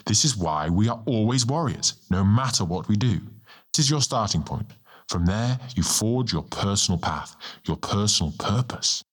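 A man voicing a serene, ancient male star guardian, a measured and lightly amused mentor in an audiobook. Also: lowish voice, expressive, breathy edge. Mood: serene, instructive. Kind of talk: audiobook character dialogue. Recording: music bed under the voice.

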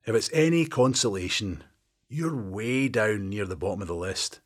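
A man voicing a wry, teasing Scottish detective past forty with a deadpan humour. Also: lowish voice, moderate pace.

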